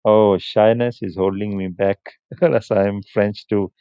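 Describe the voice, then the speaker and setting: A man hosts a live stream, speaking in an unhurried, chatty way and laughing now and then. His low voice is smooth and clear. The sound is dry and a little muffled. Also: fairly even intonation, no room sound. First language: Punjabi. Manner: unhurried, chatty, answers comments, laughs often. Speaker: livestream host. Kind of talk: casual live stream chat with viewers.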